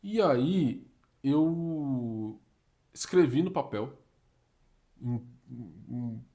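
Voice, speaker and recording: A young Brazilian man talks straight to the camera in a laid-back, slangy way. His pitch sits in an ordinary middle range, and he gets lively and punchy when he makes a point. He sounds like a vlogger handing out practical tips. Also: extremely slow, creaky. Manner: slangy and animated, uses "tá ligado", "mano", "papo reto". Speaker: career vlogger giving communication tips. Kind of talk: casual advice vlog.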